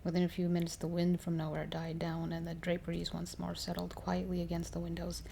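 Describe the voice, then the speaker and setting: A woman reading a horror story aloud in a soft, measured ASMR style, giving the dialogue some dramatic emphasis. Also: lowish voice, monotone, gravelly, slight background noise.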